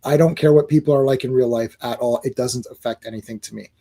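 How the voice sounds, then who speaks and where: Animated rambling man, mellow voice. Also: medium pitch, fast. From Croatian family, born locally. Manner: animated, rambling, many restarts. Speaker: podcast co-host. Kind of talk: casual chat.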